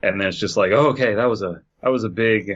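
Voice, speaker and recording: Low-voiced man, slightly muffled audio. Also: fast, fairly bright tone, breathy edge. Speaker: podcast co-host. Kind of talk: casual group chat about video games.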